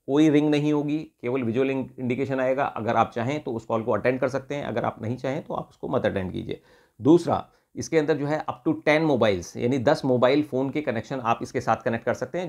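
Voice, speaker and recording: A man's voice: medium pitch, darkish tone. A man with an Indian accent giving an unscripted product demonstration, explaining step by step and often rephrasing in mixed Hindi and English. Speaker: product reviewer.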